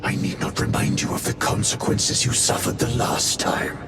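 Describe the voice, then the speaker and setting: A man's voice: fairly even intonation, moderate pace, gravelly. An actor playing a severe male warrior chaplain who makes cold, admonishing pronouncements. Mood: stern. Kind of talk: game cutscene dialogue. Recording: echoey game scene with rumbling noise.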